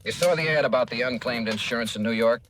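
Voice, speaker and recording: Actor as wry, probing American radio detective. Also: male voice, medium pitch, quick pace, noisy background, natural voice. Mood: probing, skeptical. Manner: probing, wry, clipped questioning. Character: dogged, skeptical male insurance investigator narrating his case. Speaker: radio detective lead and narrator.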